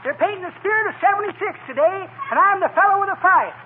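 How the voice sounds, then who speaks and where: An actor playing a spry, cheeky old-timer with a cracked voice and a folksy manner in 1940s radio comedy. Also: male voice, extremely high pitch, lively intonation, fast talker. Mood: chipper, cheeky. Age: older. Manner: folksy, familiar, calling people kids and daughter. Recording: noisy, tinny 1940s radio broadcast.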